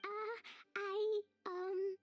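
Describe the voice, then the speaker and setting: An impulsive, innocent little girl in a video game. Her voice is extremely high and childish, and she speaks slowly and haltingly with great earnestness, with music playing behind her. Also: level tone, lo-fi sound, full character voice. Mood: flustered. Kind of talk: animated video game voice acting.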